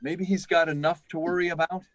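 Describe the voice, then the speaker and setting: A man's voice: a little high, flat delivery, fairly quick, a bit bright, no room sound, natural voice. A man playing an anxious, commanding flight controller with an urgent manner, in radio drama style. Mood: troubled, hesitant.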